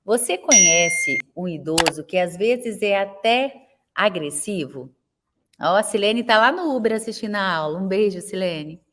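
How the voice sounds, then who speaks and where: A woman's voice: medium pitch, animated, measured pace, fairly bright tone, a little hiss or hum. A woman in her forties with a Brazilian accent, teaching a live online class for elder caregivers in a chatty way and often checking in with her viewers. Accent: Brazilian Portuguese. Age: 40s. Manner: chatty, tags nearly every sentence with a question to viewers.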